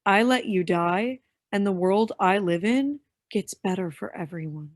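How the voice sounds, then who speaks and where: Actress as defiant young woman working for villains, stage drama. Medium pitch, dry sound. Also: animated, a little slow, no echo, lightly acted. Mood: cold, resentful. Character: bitter, defiant young woman turned reluctant henchwoman. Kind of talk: staged play reading.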